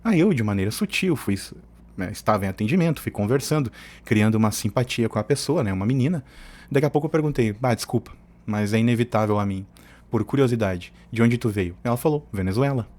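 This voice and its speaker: A man's voice: mid-range voice, expressive, fast talker, a little mellow. A man from hosts an informal commentary. He is opinionated and colloquial, wandering off into asides as he argues his points. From southern Brazil. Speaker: host of an opinion channel.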